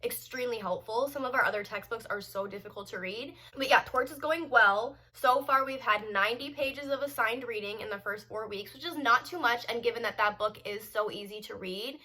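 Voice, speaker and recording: Young woman in her 20s, stuffy and congested, chatty vlogger with a bright, crisp tone. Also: on the high side, a little fast, few pauses, rough recording. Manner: chatty and upbeat, with small laughs and fillers. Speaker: law student vlogger. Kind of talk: casual vlog update.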